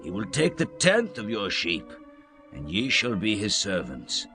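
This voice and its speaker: A solemn prophet of ancient Israel, acted by a man, low in pitch and full of authority. He declaims with sweeping, expressive intonation in a biblical audio drama. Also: unhurried, music in the background. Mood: stern warning. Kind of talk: dramatized scripture reading.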